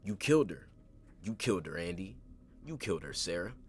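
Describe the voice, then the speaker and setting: A gravelly-voiced man doing a murderous male stalker, wheedling and unhinged, pleading in a coaxing tone that curdles into threats. The voice is low and rough, with clear noise behind it. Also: big pitch swings, a little fast. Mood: taunting. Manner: coaxing then threatening, repetitive.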